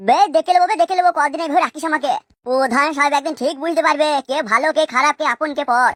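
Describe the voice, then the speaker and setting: A woman's voice: high voice, racing, a bit bright, no room sound. Comic cartoon prisoner: female actor playing a stubborn, taunting male crony, breakneck delivery, dry sound. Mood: angry defiance. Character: defiant, wronged male captive, stubborn village crony.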